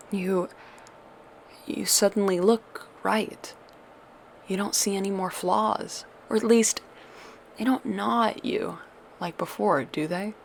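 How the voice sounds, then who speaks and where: A woman's voice: medium pitch, creaky, slight background noise. A woman playing a tender, doting witch girlfriend who soothes a monster lover with old-fashioned endearments in an ASMR audio roleplay.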